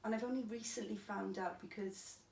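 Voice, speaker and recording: A woman with a Welsh accent and a croaky, gravelly voice, telling her own story plainly in an interview. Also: mid-range voice, steady tone, a little fast, darkish tone. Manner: plain, matter-of-fact telling with restarts. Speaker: interviewee recounting a stabbing. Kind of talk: candid personal testimony. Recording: echoey room, distant mic, faint hiss.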